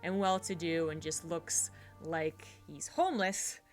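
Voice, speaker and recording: A woman's voice: deep voice, measured pace, faint music behind. A woman narrating a tabletop horror game as game master, rambling with fillers and restarts.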